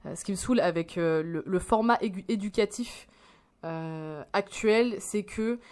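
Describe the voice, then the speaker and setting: A woman's voice: mid-range voice, moderate pace, darkish tone, smooth. A woman in her twenties, rambling and swearing as she reacts to a video in casual slang. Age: 20s.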